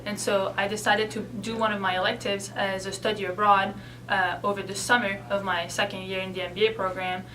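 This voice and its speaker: A woman in her twenties, originally from Belgium, answering interview questions in a relaxed, chatty way with some hesitation. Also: medium pitch, a little fast, recorded from a distance, a little hiss or hum, low-quality recording. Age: 20s. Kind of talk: casual interview.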